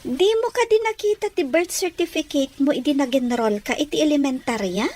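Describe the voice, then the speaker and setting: A woman's voice: high voice, lively intonation, brisk, warm tone. A woman playing a young, sensitive, playful girl in an audio drama. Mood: curious.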